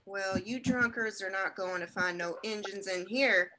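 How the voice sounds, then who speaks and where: A woman's voice: mid-range voice, fairly even intonation, a little slow, crowd noise, natural voice. A woman playing a firm, exasperated frontier storekeeper in a radio drama, on a noisy home microphone.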